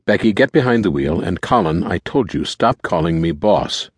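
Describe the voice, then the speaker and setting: Guy reading as commanding wary group leader, deep husky voice, terse, audiobook. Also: animated, moderate pace, dry recording, clean studio sound. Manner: firm, terse, questioning.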